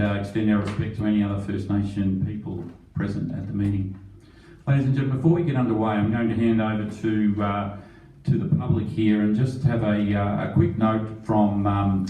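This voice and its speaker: A man's voice: lowish voice, warm tone, highs cut off. A man chairing a council meeting, formally reading the opening procedure with a few fillers. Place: council chamber. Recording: echoey chamber, mic far off.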